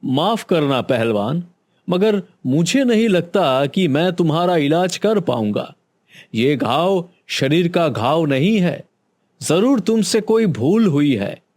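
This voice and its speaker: A man playing a grave high-voiced doctor. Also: sing-song, slow pace, a little mellow, full character voice. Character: grave male village doctor. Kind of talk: Hindi cartoon voice acting.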